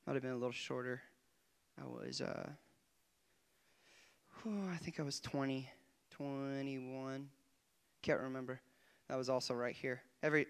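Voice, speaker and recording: A young man, a guest preacher, giving an excitable testimony in church on a handheld mic, often laughing and swinging between quiet asides and loud bursts. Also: medium pitch, creaky, clean tone. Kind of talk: testimony sermon. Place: church stage before a congregation. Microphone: handheld microphone.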